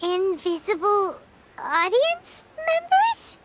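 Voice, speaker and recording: Tiny squeaky game fairy, super high, swoops all over, noisy background. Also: female voice, big pitch swings, slow pace, exaggerated voice. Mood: skeptical. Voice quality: squeaky, childlike. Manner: exaggerated reactions, speaks of self in third person. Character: small excitable childlike floating companion fairy. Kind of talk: English video game voice acting.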